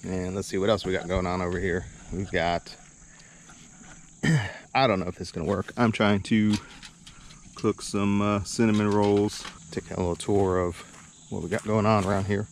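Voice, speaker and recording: Man, low pitch, hesitant casual vlogger, chuckling manner, outdoors, some background noise. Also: flat delivery, moderate pace. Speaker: channel host. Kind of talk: casual camping vlog narration. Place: outdoors at a campsite.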